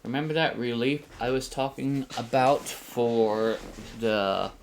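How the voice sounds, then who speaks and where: A middle-aged man casually explaining a hands-on build, halting as he thinks aloud, in a small home room. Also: mid-range voice. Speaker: host of a DIY flight simulator build channel. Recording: faint background room noise.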